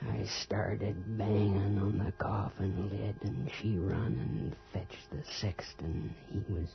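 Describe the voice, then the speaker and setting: Guarded undead country dad, radio drama; halting half-whisper, unhurried, folksy, muffled lo-fi with music. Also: male voice, lowish voice, little pitch movement, gravelly. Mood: haunted, halting. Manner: terse, halting, folksy rustic speech. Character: rural father back from the grave, guarded and plain-spoken. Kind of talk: 1980s radio horror drama acting. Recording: dull lo-fi radio recording with music.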